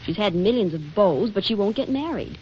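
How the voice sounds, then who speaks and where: An actress playing a flippant, scheming, exasperated wife in a 1940s radio comedy, delivering wisecracks with arch drama. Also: female voice, mid-range voice, expressive, brisk, dark tone, lightly acted. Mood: wry exasperation. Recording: dull, faintly noisy 1940s radio recording.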